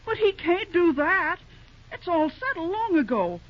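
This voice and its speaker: An actress playing an excitable, motherly older small-town woman with a rural American accent and folksy dialect, in old-time radio drama style. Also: extremely high pitch, a little slow, full character voice. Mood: indignant. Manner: exclamatory, indignant, motherly. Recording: dull, noisy vintage radio recording.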